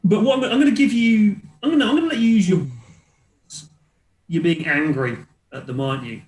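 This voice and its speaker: A man's voice: high voice, a little fast, darkish tone, reverberant, slight background noise. A man speaking casually as game master, explaining rules and narrating scenes in a tabletop role-playing game.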